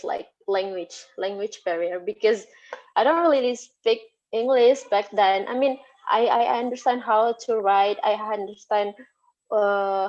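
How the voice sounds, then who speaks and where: A woman's voice: mid-range voice, darkish tone. A woman from Indonesia, a native Sundanese speaker with an Indonesian accent, gives casual interview answers as a lecturer, hesitantly and with repeated words. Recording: dull, lo-fi audio.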